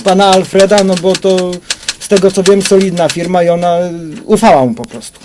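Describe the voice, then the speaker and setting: An actor playing a hesitant, deferential bank director in a crime radio drama. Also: male voice, high-pitched, fairly even intonation, fairly quick, background noise, lightly acted. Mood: uneasy, deferential. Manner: hesitant, evasive.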